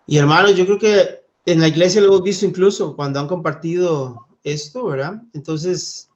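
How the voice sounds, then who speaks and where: A man from Costa Rica leading a church Bible study, speaking gently and thoughtfully, with hesitant fillers. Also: a little high, moderate pace, dull audio. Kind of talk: Bible study teaching and reading scripture.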